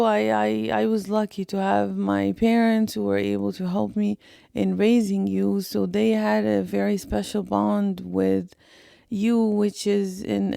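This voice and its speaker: A middle-aged woman, recalling family life haltingly with many pauses and restarts. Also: mid-range voice, vocal fry, mellow, clear, resonant tone, no echo, studio quality. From Egypt. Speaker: interviewee, mother, doctor. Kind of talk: casual family interview.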